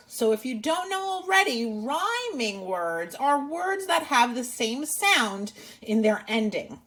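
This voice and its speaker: High-pitched, animated woman reading to children. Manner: animated, exaggerated expression, teacherly asides to the listener. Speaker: children's read-aloud channel host and teacher. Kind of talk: expressive storybook read-aloud for young children.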